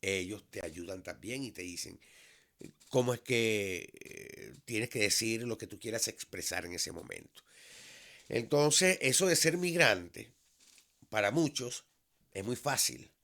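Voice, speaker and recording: A Venezuelan man in his fifties, a teacher, speaking in a measured, earnest monologue that has frequent restarts. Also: fairly low pitch, lively intonation, fairly bright tone. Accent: Venezuelan Spanish. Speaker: vlog host, high school teacher. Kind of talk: reflective solo monologue.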